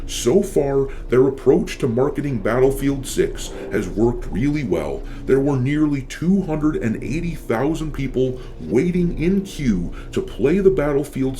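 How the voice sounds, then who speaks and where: Guy doing gaming news rants, blunt n sardonic, soft mellow tone but pitch moves around a lot, some noise behind him. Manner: blunt, sardonic, swears casually. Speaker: video channel host and commentator. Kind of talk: opinionated gaming news commentary.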